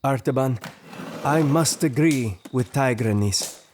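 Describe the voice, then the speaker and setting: An actor playing a young newlywed friend in an audio drama, kindly and apologetic, speaking gently, formally and sincerely. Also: male voice, medium pitch, takes it slowly, a little hiss or hum, natural voice. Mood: regretful. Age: young adult.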